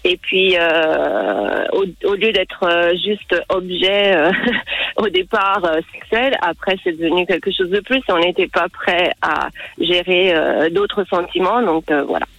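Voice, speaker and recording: A woman's voice: lowish voice, animated, measured pace, no echo. A woman from Italy calling in to a radio show and answering candidly, with some hesitation. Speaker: caller. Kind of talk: phone call to a radio show. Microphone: phone. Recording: muffled phone line.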